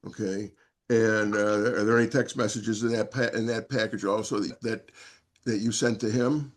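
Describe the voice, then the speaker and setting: A man working as a defense attorney, questioning and arguing in court with halting, repetitive, wordy phrasing. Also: lowish voice, fairly even intonation. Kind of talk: courtroom cross-questioning and argument.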